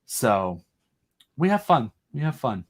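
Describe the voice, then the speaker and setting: A man's voice: mid-range voice, very animated, slow pace. A man as an interview guest, eagerly explaining his own creative project in casual, rambling chat. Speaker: guest, creator of an audio drama.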